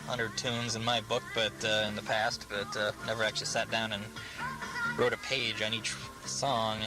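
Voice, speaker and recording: A man giving casual, rambling commentary in a halting, self-deprecating way. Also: on the low side, level tone, over loud music, poor audio.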